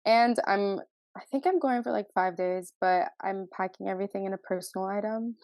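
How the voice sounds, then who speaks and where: A woman's voice: medium pitch, a little fast, darkish tone, no room sound. A woman in her twenties, co-hosting a podcast, with a stuffy, congested sound and a sore throat. She laughs often between phrases. The recording is dry and close, with no room sound. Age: 20s. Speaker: podcast co-host. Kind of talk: casual chat.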